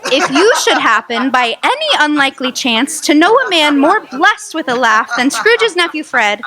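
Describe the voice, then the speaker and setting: A woman narrating a radio drama with expressive storytelling. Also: high-pitched, normal speed, a crowd in the background.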